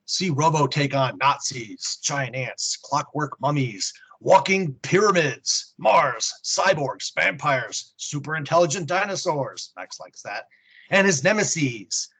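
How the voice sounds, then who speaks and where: A man's voice: fairly high pitch, a bit bright, husky, poor audio. A man co-hosting a podcast, reading a prepared report on comics and history with snarky muttered asides. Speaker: podcast co-host. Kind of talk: comic book report read from a script with asides.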